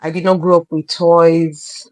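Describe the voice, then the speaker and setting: A woman's voice: lowish voice, flat delivery, a little slow, dry recording. A woman from Lagos with a Nigerian accent, telling personal stories as an interview guest and repeating phrases for emphasis. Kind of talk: conversational personal storytelling.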